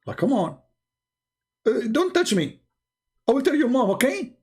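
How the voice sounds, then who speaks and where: A man voicing a young girl who protests in a squeaky, whiny voice. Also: sing-song, unhurried, husky, exaggerated voice.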